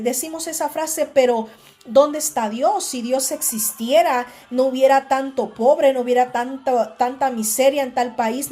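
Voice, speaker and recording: A woman's voice: sits high, darkish tone. A Honduran woman with a Honduran Spanish accent gives a casual devotional talk, speaking conversationally with frequent restarts and tag questions.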